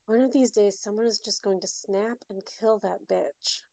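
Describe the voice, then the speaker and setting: A woman's voice: mid-range voice, fairly even intonation, normal speed, creaky, dark tone, no room sound, poor audio. A woman playing a tough, protective young woman in a horror script table read, blunt and confrontational. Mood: angry, threatening.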